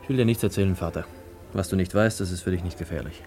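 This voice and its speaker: A man's voice: sits low, fast, darkish tone, soft background music. An actor playing a hunted young man who is evasive and reassures his mother, in a German radio play. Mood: guarded, uneasy. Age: young adult.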